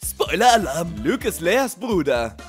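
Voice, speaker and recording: A man's voice: high voice, sing-song, a little slow, over music. A man voicing a brash young male prankster in a comedy sketch dub, crass and declamatory. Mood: gleeful announcing. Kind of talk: German comedy sketch fandub.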